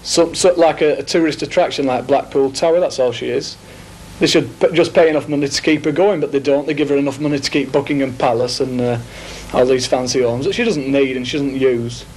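Young lancashire lad, earnest and opinionated, bit of hiss on the tape. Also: male voice, mid-range voice, fairly even intonation, a little fast, darkish tone, poor audio. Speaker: interviewee. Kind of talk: casual opinion chat. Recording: 1980s TV film with faint noise.

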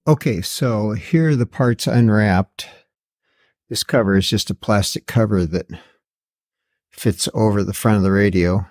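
A man's voice: on the low side, unhurried, darkish tone. A middle-aged or older man giving a casual, matter-of-fact product walkthrough as a video host, with a slightly wry, plain-spoken way of talking. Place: indoor garage workshop.

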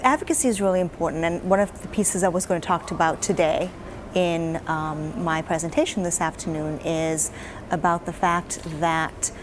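A woman in her forties speaks in a slightly low voice, answering interview questions in an earnest, measured way with many small fillers. She is recorded on a lapel mic in a hotel lounge, with steady room noise behind her. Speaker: advocacy director, interviewee.

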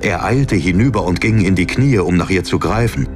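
A man's voice: sits low, animated, normal speed. A man reading a fantasy story as a scripted, dramatic audiobook narration. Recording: narration over background music.

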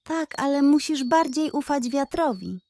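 Female seagull mentor, played by a woman, children's story. High voice, patient, kindly, encouraging, dry studio sound. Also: lively intonation, measured pace, dark tone, dry recording. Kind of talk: children's bedtime audio story.

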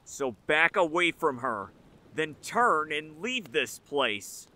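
Protective male islander male actor, sharp, lively, fairly high voice, audio drama. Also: slow pace, exaggerated voice. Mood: threatening.

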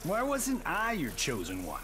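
An actor playing a cocky, wisecracking action-star fighter in a video game, flippant and sarcastic. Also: male voice, on the high side, huge pitch swings, measured pace. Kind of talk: video game cutscene dialogue. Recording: game music and effects behind.

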